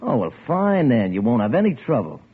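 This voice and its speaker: An actor playing a smooth, sinister crook who keeps coaxing the other man in an oily voice. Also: mid-range voice, big pitch swings, brisk. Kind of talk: 1940s radio acting. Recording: tinny old radio broadcast with music.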